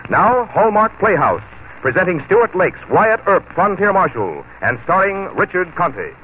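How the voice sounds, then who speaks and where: A polished man announces in 1940s radio commercial style. His slightly high voice moves in animated, emphatic swings. The recording is tinny and vintage. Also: a little slow, background noise.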